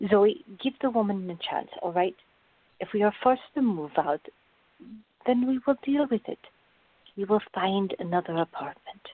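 A woman playing a patient, sensible, gently firm woman in a radio drama. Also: medium pitch, moderate pace, dry recording, natural voice. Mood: reassuring. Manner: calm, reasoning, gently firm. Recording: muffled, phone-like narrow-band audio.

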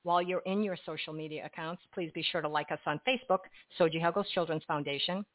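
A woman hosting a radio talk show and reading her sign-off in a polished, gently emphatic way. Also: deep voice, fairly even intonation, fast, dry recording, phone-quality sound.

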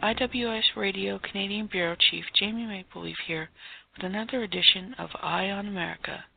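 A woman playing a perky Canadian radio bureau chief introducing a parody news segment, with a husky, creaky voice. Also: mid-range voice, fairly quick. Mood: upbeat, presenting. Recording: tinny phone-like sound, faint music.

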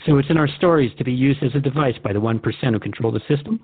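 A man's voice: mid-range voice, racing, husky, narrow, tinny audio. A man hosting a radio show, speaking reflectively in scripted radio drama dialogue.

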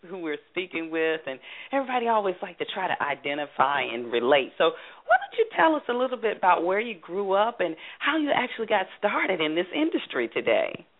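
A woman's voice: fairly low pitch, big pitch swings, brisk. A woman hosting an interview show, chatty with many fillers, heard over the phone. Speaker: host. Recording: phone line, narrow band.

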